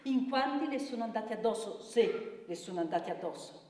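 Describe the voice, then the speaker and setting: A woman's voice: on the high side, animated, fairly quick, darkish tone, lightly acted. An actress playing a scornful, gossipy woman in a theatrical monologue, insinuating and full of rhetorical questions. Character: scornful, gossipy woman who blames victims. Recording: echoey hall, distant microphone, faint music.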